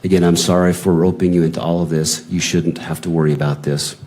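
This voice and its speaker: Male witness reading a message exchange into the record, very low and mellow, no acting. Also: fairly even intonation, fast talker. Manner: plain, unacted reading with attributions. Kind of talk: reading written messages aloud, hearing testimony.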